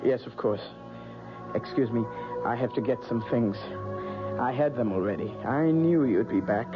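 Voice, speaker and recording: An actor playing a sinister old woman fortune teller who speaks in a soft, creepy, coaxing way, in a radio drama. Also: medium pitch, normal speed, music in the background, lightly acted. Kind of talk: 1970s radio drama acting. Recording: muffled old radio broadcast.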